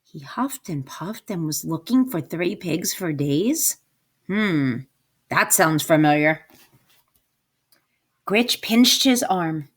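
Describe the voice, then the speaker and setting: A woman's voice: fairly low pitch, animated, slow, long pauses, slightly rough. A woman reading a children's picture book aloud, emphatic and sing-song in her narration. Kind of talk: expressive children's storybook narration.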